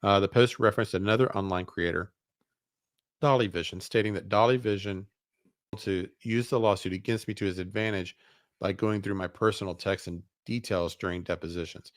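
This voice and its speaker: Deep-voiced man hosting, reading documents aloud. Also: fairly even intonation, a little fast, no room sound, clean studio sound. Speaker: show host.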